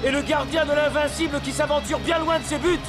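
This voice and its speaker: An actor voicing an excitable male football commentator in a cartoon, calling the match. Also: very high voice, fairly quick. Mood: excited. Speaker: sports commentator. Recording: loud music behind the voice.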